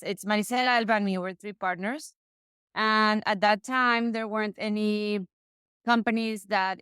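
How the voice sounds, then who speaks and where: A woman's voice: mid-range voice, a bit bright, clear, resonant tone, no echo, clean studio sound. A woman in her forties from Mexico, chatting as a podcast guest and often restarting her sentences. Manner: chatty, frequent restarts and self-corrections. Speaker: guest, real estate investor. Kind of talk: casual interview conversation.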